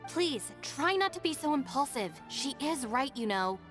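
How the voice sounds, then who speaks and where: A woman's voice: high-pitched, big pitch swings, moderate pace, over music. A woman voicing a level-headed young female deputy in a video game, sensible, polite and wryly exasperated. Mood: exasperated, restraining.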